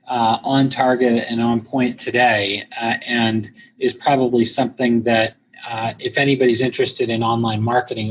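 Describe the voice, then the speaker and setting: A man with a mid-pitched voice answers questions as a guest on a business interview. He explains things in a measured way, pausing and feeling for the next phrase. Noise is clearly audible behind him. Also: highs cut off. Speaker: guest, internet marketing company president.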